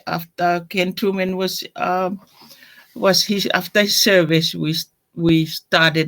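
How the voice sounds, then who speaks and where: An older woman from Tonga with a Tongan accent, telling her personal story in an interview in a reflective, halting way. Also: fairly low pitch. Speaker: deacon, interview guest.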